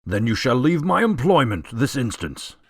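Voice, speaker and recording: A man playing a commanding, indignant lord of a manor in an audio drama, with a husky, authoritative, demanding delivery. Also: mid-range voice, big pitch swings, normal speed, no room sound. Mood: stern, indignant.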